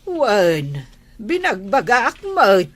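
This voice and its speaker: A woman's voice: mid-range voice, wildly swooping pitch, drawn-out words, full character voice. A woman acting a meek, resigned old village wife and mother in an audio drama, with an Ilocano accent. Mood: resigned, placating. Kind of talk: amateur Ilocano radio-style comedy drama acting.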